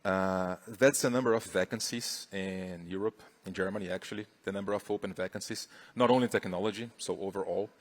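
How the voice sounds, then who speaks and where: A man's voice: sits low, fairly even intonation, brisk, hardly any pauses. A middle-aged Brazilian man with a Brazilian Portuguese accent, giving a chatty, upbeat conference talk on stage. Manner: upbeat, chatty, filler-heavy. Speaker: conference speaker.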